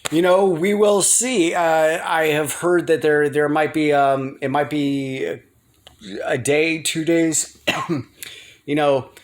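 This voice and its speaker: An American man speaks in a somewhat high-set voice. He rambles casually as a video blogger, hesitating often. He repeats words and circles back to what he was saying. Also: fairly even intonation. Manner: halting, repetitive, trailing off and circling back.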